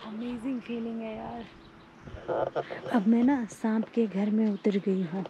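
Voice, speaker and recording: Brief: woman, 30s, chatty excitable vlogger on a sunny trail, camera at arm's length, muffled sound, heavy wind noise. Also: on the high side, unhurried. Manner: chatty and excitable, pointing out sights. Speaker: travel vlogger. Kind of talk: casual travel vlog narration. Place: outdoors on a sunny volcano hiking trail. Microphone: camera held at arm's length. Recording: dull outdoor phone audio with wind noise.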